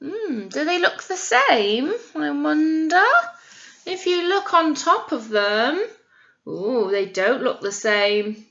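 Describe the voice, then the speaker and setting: British woman, primary teacher, high bright voice, sing-song questioning delivery, children's storybook read-aloud, lo-fi sound. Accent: British English. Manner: animated, encouraging, exaggerated sing-song emphasis with frequent questions. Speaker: primary or nursery teacher reading a picture book to children. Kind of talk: interactive read-aloud lesson for young children.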